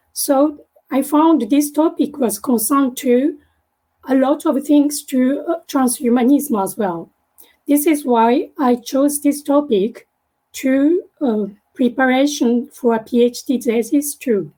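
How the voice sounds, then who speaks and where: A young woman with a Japanese accent, a native Japanese speaker, giving an academic presentation online and haltingly searching for words. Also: high voice, warm tone, low-quality recording. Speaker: guest speaker, graduate student. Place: online video meeting.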